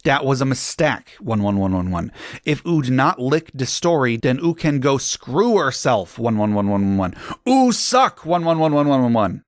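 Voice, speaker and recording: A man's voice: mid-range voice, very animated, normal speed, dry recording, studio quality, natural voice. A man voicing an indignant teenage girl author ranting defensively, with garbled, babyish mispronunciations. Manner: bratty, garbled baby-like mispronunciations.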